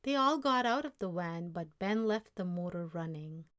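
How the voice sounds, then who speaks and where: A woman storyteller reading a children's story aloud in a measured, expressive way. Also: fairly low pitch. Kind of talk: scripted children's story narration, read aloud.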